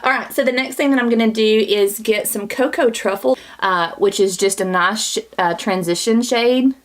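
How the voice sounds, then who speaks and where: A chatty woman talks through a makeup tutorial in a small home studio. Her voice sits a bit high and sounds scratchy from a lingering cold, and she keeps a bubbly, confiding tone close to the camera mic. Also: normal speed. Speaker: beauty vlogger.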